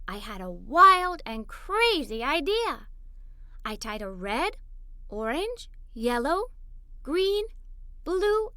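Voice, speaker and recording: A woman voicing an excited, adventurous little girl telling a children's read-aloud story in an expressive, enthusiastic way. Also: high-pitched, clear, resonant tone, no echo, studio quality, exaggerated voice. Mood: imaginative.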